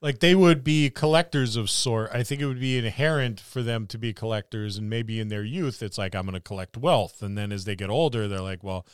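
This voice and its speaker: A man's voice: mid-range voice, brisk, few pauses, clean tone, no echo, clean studio sound. A man co-hosting a casual podcast discussion about tabletop roleplaying, musing aloud and building little imagined scenarios. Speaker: podcast co-host.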